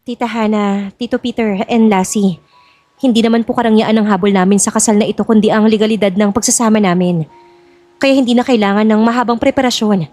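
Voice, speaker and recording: A woman's voice: mid-range voice, fairly even intonation, brisk, darkish tone, dry recording, soft background music, lightly acted. A woman playing a polite, principled young bride-to-be in an audio drama, formal and composed. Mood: composed, firm.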